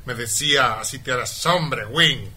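An actor playing a pompous, callous, imperious mine owner in a comic radio play. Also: male voice, medium pitch, a little slow, bright, forceful tone, slightly rough, full character voice. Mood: boastful, reminiscing. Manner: imperious, dismissive, blustering.

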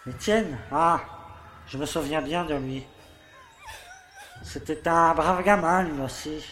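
A man's voice: a little high, slow pace, fairly bright tone. A man playing a self-satisfied older village mayor in an audio drama, with a pompous, paternal way of talking. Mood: nostalgic. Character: smug, falsely kindly older village mayor. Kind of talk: theatrical monologue in an audio play. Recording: echoey room, faint background noise.

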